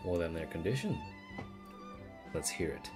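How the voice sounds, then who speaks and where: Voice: sits low, wildly swooping pitch, moderate pace, music in the background. A game streamer giving casual, informal, slangy live commentary and reading game text aloud.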